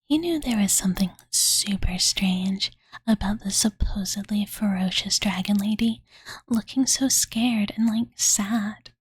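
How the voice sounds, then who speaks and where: A woman voicing a cute, devoted young dragon girl who softly tells her master a bedtime story in a cozy ASMR roleplay, with cutesy, filler-heavy speech. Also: mid-range voice, no room sound. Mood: soft storytelling. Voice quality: soft breathy whisper. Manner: valley-girl filler-laden, soothing, cutesy third-person speech. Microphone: close-up studio condenser microphone, dry.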